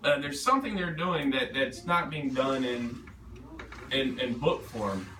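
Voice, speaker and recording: A man's voice: medium pitch, lively intonation, measured pace, pushed and bright. A man speaking as an author in an informal question session at a reading, rambling and self-deprecating as he thinks aloud. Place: bookstore event room. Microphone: distant room microphone. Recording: echoey room, distant mic, noisy background.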